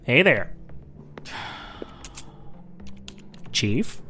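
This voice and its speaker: An actress playing an eager, idealistic rocket technician in an audio drama, informal and earnest. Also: female voice, extremely low pitch, big pitch swings, slow pace, fairly bright tone, soft background music, full character voice. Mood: casual. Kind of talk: audio drama acting, naturalistic dialogue.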